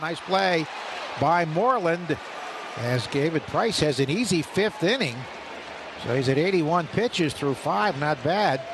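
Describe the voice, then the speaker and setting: Radio baseball announcer with crowd noise. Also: male voice. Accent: American. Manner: measured, rises in pitch on big plays. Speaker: play-by-play announcer.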